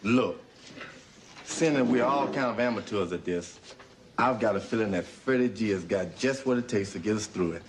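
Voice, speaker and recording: A man's voice: lowish voice, moderate pace, close to an everyday voice. Man playing easygoing champ, noisy recording. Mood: plain, earnest. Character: easygoing amateur actor playing a boxing champ.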